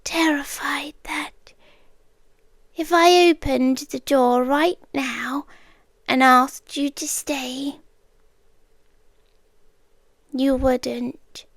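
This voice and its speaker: A British woman playing an obsessive, gently menacing girlfriend in an intimate ASMR roleplay, speaking in a hushed, deliberate way that often slips into whispers, close to the microphone. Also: high-pitched, steady tone, no room sound, heavily acted. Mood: hushed, anxious. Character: soft-spoken obsessive yandere girlfriend, gently menacing captor. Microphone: close microphone, dry.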